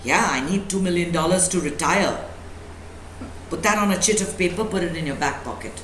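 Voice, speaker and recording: A woman's voice: fairly low pitch, moderate pace, fairly bright tone. A woman speaking as a spiritual teacher, giving an emphatic motivational talk full of rhetorical questions and repetition. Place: indoor room at a live talk. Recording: echoey room with faint background noise.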